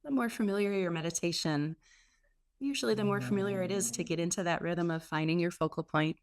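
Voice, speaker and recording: Woman guiding meditation, slightly low voice. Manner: unhurried, gentle pauses between phrases. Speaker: meditation and yoga teacher. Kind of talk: guided meditation, softly instructive.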